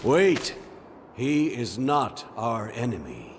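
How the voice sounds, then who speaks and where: An actor playing a calm, courteous man of authority in a video game, formal and measured. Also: mid-range voice, a little hiss or hum. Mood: calm, apologetic. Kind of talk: dramatic video game voice acting.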